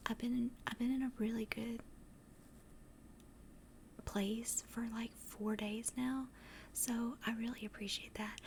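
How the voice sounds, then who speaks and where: A woman chatting casually to livestream viewers in a soft, breathy ASMR whisper, gentle and rambling, close to the microphone. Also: a little hiss or hum. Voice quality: soft, breathy whisper and hushed low-volume speech. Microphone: close directional microphone.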